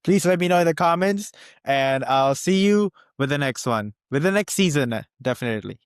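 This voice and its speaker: A man hosting a casual interview show, speaking haltingly and often rephrasing himself. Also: a little high, lively intonation, smooth, no room sound, clean studio sound. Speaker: webisode host.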